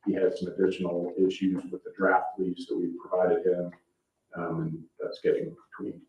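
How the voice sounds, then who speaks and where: Man, meeting chair, low-pitched, procedural loose delivery, far from the mic in an echoey room. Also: very animated, normal speed, gravelly, darkish tone. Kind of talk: formal public meeting talk, loosely spoken. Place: indoor public meeting room. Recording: distant microphone, echoey room, slightly muffled.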